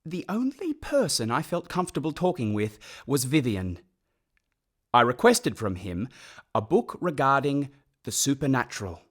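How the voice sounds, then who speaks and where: Husky, haunted young gothic narrator. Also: male voice, medium pitch, big pitch swings, moderate pace. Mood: haunted, grieving. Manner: hushed, intense, literary. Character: sensitive young orphan man narrating a gothic mystery. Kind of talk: dramatic first-person radio play narration.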